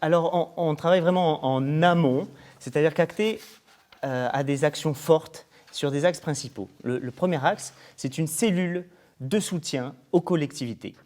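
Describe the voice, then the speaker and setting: A man's voice: fairly high pitch, moderate pace, a little mellow. A man speaks as an interviewed coordinator. He explains things formally, setting out points one after another in the manner of a promotional presentation. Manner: formal, explanatory, enumerating in lists. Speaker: programme coordinator being interviewed.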